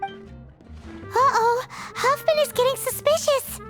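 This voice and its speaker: A woman voicing a tiny, excitable, childlike fairy companion in an anime game, squeaky and anxious. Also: slow, music in the background. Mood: alarmed. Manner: exclamatory, refers to herself by name.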